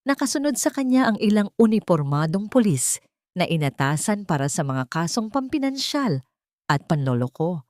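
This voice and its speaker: Low-voiced Filipino woman narrating drama. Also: a little mellow, no echo, clean studio sound. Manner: measured, formal, dramatic delivery. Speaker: story narrator. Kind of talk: scripted dramatic story narration.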